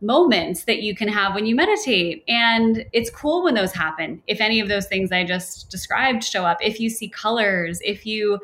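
A young adult woman teaching meditation in a relaxed, chatty way with playful asides. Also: mid-range voice, moderate pace, few pauses. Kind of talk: casual podcast conversation.

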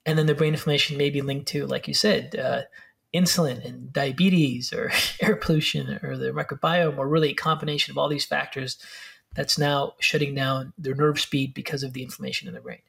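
A man speaking as a guest expert, explaining brain health in a fast, filler-heavy way with restarts. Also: mid-range voice, lively intonation, creaky, a bit bright. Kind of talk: explanatory interview talk.

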